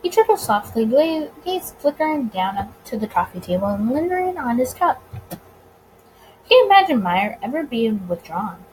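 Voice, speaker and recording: A woman's voice: fairly high pitch, big pitch swings, normal speed, darkish tone, a little hiss or hum, poor audio. A woman reading a visual novel aloud with casual commentary, stumbling over words now and then. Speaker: let's play host.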